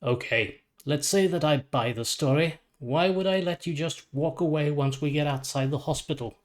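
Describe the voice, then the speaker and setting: A man's voice: fairly high pitch, normal speed, pitched above the performer's own voice, close to an everyday voice. Man playing a stern, blunt superhero lawman. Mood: suspicious, challenging. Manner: blunt, challenging.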